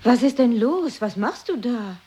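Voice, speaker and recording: A woman's voice: on the high side, animated, measured pace, dark tone. An inquisitive woman in a radio play, her mid-range voice swinging up and down. She presses for news with alarmed questions. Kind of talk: 1980s radio play acting.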